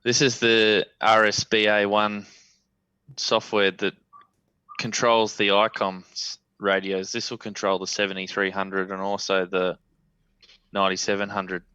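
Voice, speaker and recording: An Australian man on a video call, casually showing off his radio station as he works the software. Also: lowish voice, measured pace, clean tone, no room sound, highs cut off. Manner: relaxed, talks while operating radio software.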